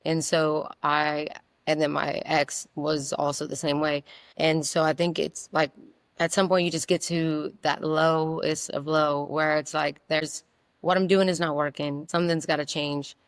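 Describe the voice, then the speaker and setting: Raspy woman, flat delivery. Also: low voice, measured pace, no room sound. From South Louisiana. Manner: open, rambling, many fillers. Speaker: singer-songwriter guest. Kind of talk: casual interview answers.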